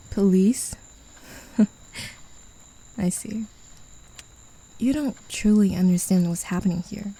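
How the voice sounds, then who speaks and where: A woman's voice: mid-range voice, creaky, warm tone, husky, heavily acted, rough recording. A woman voicing a possessive female demon in an ASMR roleplay, talking down to her human pet in a teasing, condescending way, often whispering. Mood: mocking. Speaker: voice actor.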